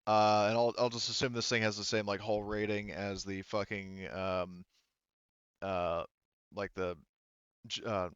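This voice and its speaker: A man with a low, clear voice runs a tabletop roleplaying game. He talks informally and swears as he reasons through rules aloud. The sound is dry and a little dull, as if on a close lo-fi mic. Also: flat delivery, a little slow, a bit bright, no echo. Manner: informal, profane, thinking aloud. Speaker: game master. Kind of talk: casual tabletop game narration and rules talk.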